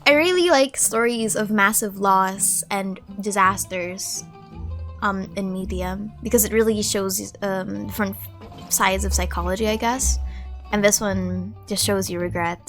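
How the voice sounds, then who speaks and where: A woman streamer giving casual, expressive gameplay commentary, thinking aloud informally. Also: medium pitch, unhurried. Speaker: gaming streamer. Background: background music.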